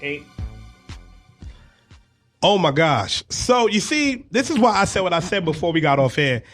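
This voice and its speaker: Higher-voiced New man hosting radio. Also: unhurried, no room sound, clean studio sound, faint music behind. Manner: animated, slangy, repeats himself. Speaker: radio show host. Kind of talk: casual radio banter. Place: radio studio.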